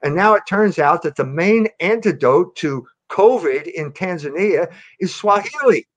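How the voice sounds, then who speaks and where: A man comments on politics in a talk-show style. His voice sits a bit higher than most men's. He speaks conversationally but emphatically, often repeating a phrase to press it. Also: lively intonation, measured pace, muffled recording.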